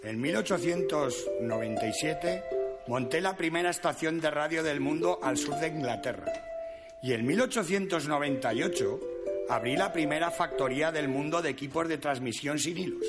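An actor playing a boastful, aggrieved radio inventor in heaven, in a comic radio play. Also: male voice, on the high side, normal speed, close to an everyday voice. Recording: loud music under the voice.

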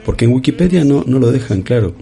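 A man hosting a comedy interview in a studio, polite and deadpan, often stammering on restarts. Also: medium pitch, fast talker, vocal fry, faint music behind. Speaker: host.

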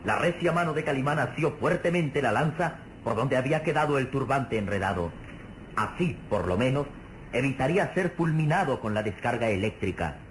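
A man's voice: medium pitch, animated, moderate pace, lightly acted. A man narrating an adventure radio serial in a formal, emphatic, suspenseful style. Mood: descriptive, grave. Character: grave, vivid narrator of a heroic adventure serial. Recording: noisy old radio serial recording.

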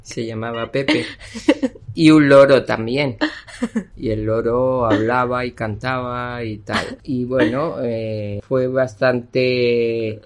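A woman in her sixties, born in Chile, telling family stories as a podcast guest in a slow, halting way. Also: very deep voice, fairly even intonation. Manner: slow, halting recollection with rising animation. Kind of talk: casual storytelling.

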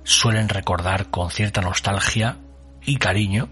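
A man hosting a radio show with scripted, atmospheric narration, solemn and deliberately phrased with dramatic pauses. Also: on the low side, a bit bright, faint music behind.

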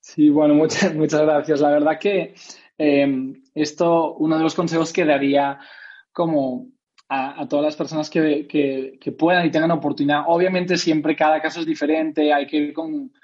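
Dull-sounding young man, higher-pitched voice. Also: little pitch movement, normal speed, a little mellow. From Spain. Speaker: interviewed author. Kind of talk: reflective interview conversation. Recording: dull, lo-fi audio.

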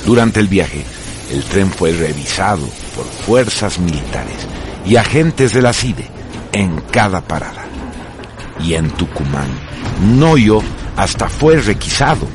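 A man's voice: sits low, big pitch swings, takes it slowly, noisy background. A man narrating a radio drama from a script in a grave, declamatory manner. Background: prominent music.

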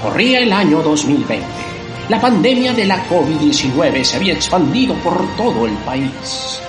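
A man's voice: a little high, very animated, normal speed. A man announcer giving a dramatic voiceover for a radio play. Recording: roomy voice over music bed.

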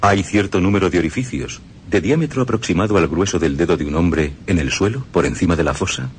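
A man doing a formal, measured scripted reading for radio. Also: deep voice, a little hiss or hum.

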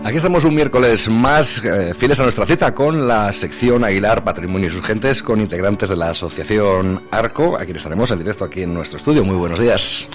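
A man's voice: on the low side, brisk, few pauses, soft background music. A man with a Castilian Spanish accent hosting a live local radio programme from a studio. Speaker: radio host.

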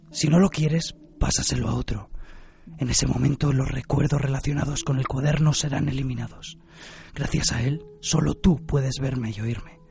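Male death spirit, acted by a man, gravelly creaky voice, taunting, amused, theatrical, anime radio drama. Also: mid-range voice, dark tone, natural voice.

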